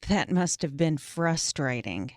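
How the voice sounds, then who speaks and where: Woman, scripted interviewer, lowish pitch, lively intonation, dry recording. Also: takes it slowly, dry recording. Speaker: interviewer in a practice dialogue. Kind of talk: scripted dialogue reading.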